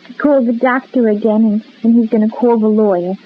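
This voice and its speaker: A woman's voice: on the high side, fairly even intonation, a little fast, lightly acted. A woman playing a patient daughter in a radio soap opera, placating and a little hesitant, giving brief answers. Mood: soothing, reluctant. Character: patient, soothing grown daughter minding her mother. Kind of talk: old-time radio soap opera acting. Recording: muffled, faintly noisy old radio recording.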